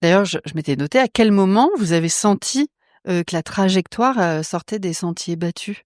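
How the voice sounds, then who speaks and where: A woman hosting a podcast, asking questions in a relaxed, chatty way. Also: fairly low pitch, moderate pace, no echo, clean studio sound. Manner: relaxed, chatty, brief interjections. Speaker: podcast host. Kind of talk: casual interview questions.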